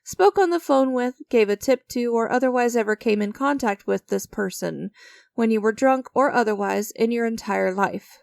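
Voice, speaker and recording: A woman's voice: on the high side, normal speed, vocal fry, no echo, clean studio sound. A woman playing an enigmatic, creepy stranger who strikes sinister deals in a dramatic audio roleplay, teasing and theatrical with a smug, coaxing manner. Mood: sly, coaxing.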